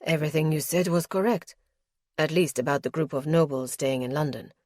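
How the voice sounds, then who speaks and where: Woman reading reserved nobleman, lowered voice, clipped, formal, dry sound. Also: brisk, a little mellow, dry recording, close to an everyday voice. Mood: grave. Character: grave reserved nobleman, young adult man.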